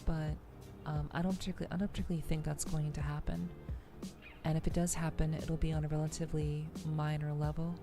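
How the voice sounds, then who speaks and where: A woman hosting a livestream, chatting casually with viewers in a halting, measured way, often repeating words as she thinks. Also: sits low, level tone, over music. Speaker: VTuber livestream host.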